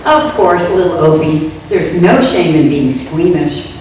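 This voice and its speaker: A woman playing an eager, proper frontier schoolmarm, sweet and excitable, in a radio comedy. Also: low voice, unhurried. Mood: reassuring. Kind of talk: old-time radio comedy western acting. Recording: very echoey room, distant mic, faint noise.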